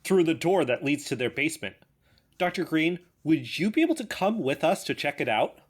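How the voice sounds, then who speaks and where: An actress playing a curious, earnest podcast investigator who asks polite, probing questions in an audio drama interview. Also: female voice, sits low, sing-song, fairly quick, breathy edge, exaggerated voice.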